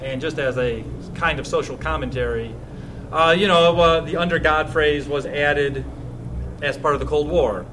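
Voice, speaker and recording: A man's voice: on the high side, moderate pace, a bit bright, slight background noise, poor audio. A man hosting a casual comedic chat, lecturing as a mock teacher and laughing at his own jokes. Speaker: host playing teacher in a trivia game.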